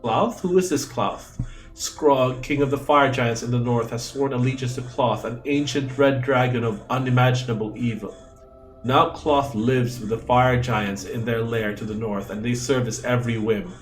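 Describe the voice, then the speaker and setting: A man's voice: mid-range voice, fairly even intonation, normal speed, faint music behind. Chatty man, native Cebuano speaker. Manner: chatty, jokey, reads game text aloud. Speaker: streamer. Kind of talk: let's play commentary.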